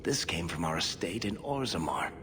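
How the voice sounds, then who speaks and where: A man's voice: low-pitched, animated, normal speed, background noise. A man voicing a wry, loyal dwarf rogue and storyteller in a video game cutscene, with a dry, sardonic manner. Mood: wistful, reflective.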